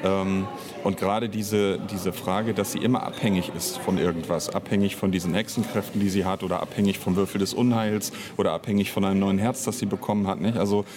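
A man's voice: sits low, fairly even intonation, a little fast, crowd noise. A man speaking as an interview guest in casual conversation, searching for words as he explains at length. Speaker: interview guest, audio drama author and director.

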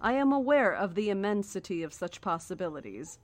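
An actress playing a cryptic, coldly certain cult founder in a horror audio drama, speaking in a measured, formal and deliberate way. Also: female voice, medium pitch, sing-song, close to an everyday voice. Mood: calm, knowing.

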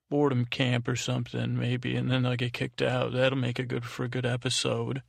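A man hosting a bedtime podcast in a meandering, halting monologue, repeating words and drifting off on tangents. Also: mid-range voice, creaky, dry recording, clean studio sound. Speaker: podcast host. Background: music.

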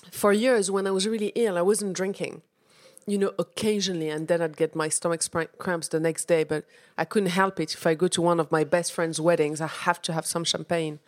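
A woman's voice: fairly low pitch, no room sound, clean studio sound. A woman co-hosting a casual chat podcast, explaining health facts with conviction. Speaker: podcast co-host.

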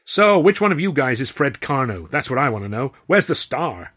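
A man voicing a brash, pushy American theatre owner who talks loudly, with a husky voice. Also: medium pitch, big pitch swings, normal speed, pitched above the performer's own voice. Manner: loud, pushy, showman bluster.

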